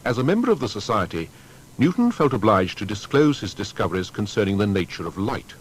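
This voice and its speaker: A man narrating a scripted historical biography in a formal storytelling manner with deliberate emphasis. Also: fairly low pitch, very animated, slight background noise.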